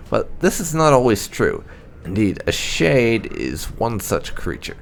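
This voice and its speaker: Low creaky man reading lore aloud. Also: slight background noise. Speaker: gaming channel host. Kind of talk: scripted narration, reading game lore entries aloud.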